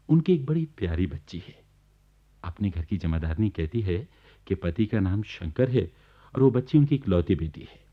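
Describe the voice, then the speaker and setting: A genial, wry man narrates a radio play from his sickbed. His voice is fairly low and he chats confidingly to the listener. The old recording is dull. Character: genial bedridden government officer recounting his neighbours' life.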